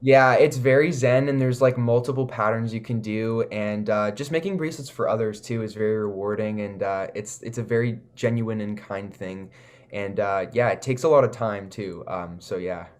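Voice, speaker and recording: A man with a Canadian accent giving casual, enthusiastic interview answers, often pausing with "like" and "you know". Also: fairly low pitch, steady tone. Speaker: recording artist, interview guest.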